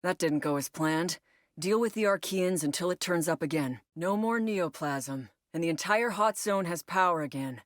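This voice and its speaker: Actress as female tactical agent, video game briefing, measured, instructive, lower-pitched, close dry recording. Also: no echo, natural voice. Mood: focused, instructive. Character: composed female tactical operations agent briefing a squad on alien parasites. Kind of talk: scripted video game voice lines.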